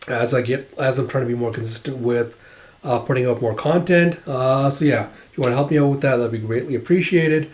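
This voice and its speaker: A man with a hoarse voice from a cold, hosting a manga read-through channel and chatting casually, rambling with many fillers. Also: mid-range voice. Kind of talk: casual chat to camera. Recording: roomy echo.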